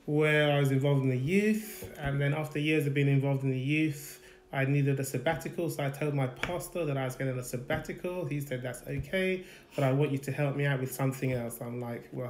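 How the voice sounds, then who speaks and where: A man with a London accent, relaxed and plainspoken, telling his life story with light humour in a casual live chat at home. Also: medium pitch, fast talker, roomy sound. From Nigeria, raised in UK. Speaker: guest, husband.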